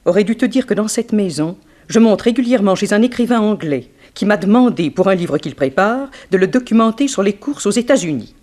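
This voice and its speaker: A woman's voice: mid-range voice, a little mellow, close to an everyday voice. Actress playing a wronged upper-class woman, formal, precise, genteel, guarded dignity, 1960s French radio drama.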